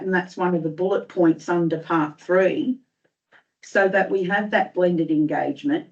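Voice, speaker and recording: Low-voiced woman losing her voice. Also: a little mellow. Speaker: councillor. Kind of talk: council meeting debate. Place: council chamber. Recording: dull lo-fi meeting audio.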